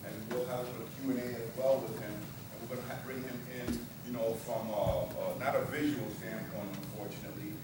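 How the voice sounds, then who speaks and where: A man's voice: mid-range voice, fairly even intonation, a little fast, hardly any pauses. A man hosting and moderating a live event in a chatty, informal way, hesitating and repeating himself. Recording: echoey room from far off, clear noise.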